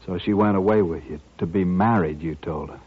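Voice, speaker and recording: A grim avenger in an old radio western. His voice is very low and husky, his delivery slow and deliberate, full of bitter accusation, on a muffled vintage recording. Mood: quiet bitterness. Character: grim, bitter male avenger out to kill a gambler. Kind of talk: 1950s radio western acting.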